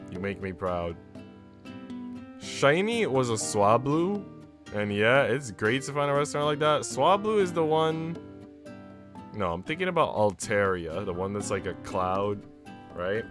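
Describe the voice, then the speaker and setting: A low-pitched man hosts a casual live stream with guitar music clearly playing behind him. He reads visual novel text aloud and chats in slang, joking and swearing freely. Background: plucked guitar music.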